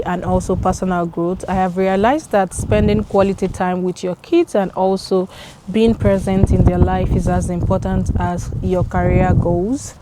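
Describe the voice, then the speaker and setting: A woman's voice: medium pitch, background noise. A woman, talking through a casual vlog in her home kitchen, chatty and encouraging. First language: Yoruba. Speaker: vlogger. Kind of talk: casual vlog talk to camera.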